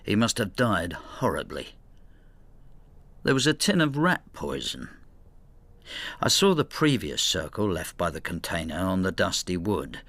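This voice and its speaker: Embittered older husband, radio drama. Lowish, bright-edged delivery, dramatic and vengeful. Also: male voice, very animated, measured pace, pauses often, no echo, full character voice. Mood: anxious, grieving. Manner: brooding, spiteful, dramatic. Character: bitter, vengeful ageing husband devoted to his cat. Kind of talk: first-person radio drama narration and dialogue.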